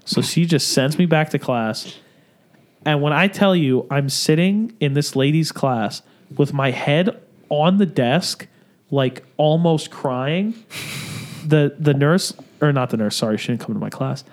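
A young man from Syria, a podcast co-host telling a story in a relaxed, joking way, laughing and swearing casually. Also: a little high, a little slow.

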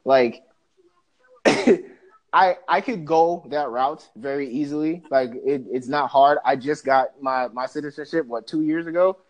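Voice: mid-range voice, measured pace. A podcast co-host from the Bahamas, ranting animatedly and profanely in a casual discussion.

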